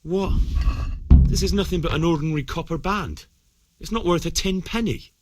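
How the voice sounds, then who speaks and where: An actor playing an earnest, cheeky young village lad in a medieval video game, with an expressive way of talking. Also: male voice, a little high, moderate pace, soft background music, close to an everyday voice. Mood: indignant.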